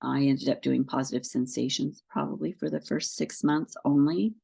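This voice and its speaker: A woman coaching her listeners through slow breathing exercises, her voice full of deep vocal fry and a little stuffy from a head cold. She talks in a calm, patient way, walking through each step with the odd "um" along the way. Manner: calm, patient, explains step by step with frequent ums. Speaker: somatic tracking coach. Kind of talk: instructional talk to camera.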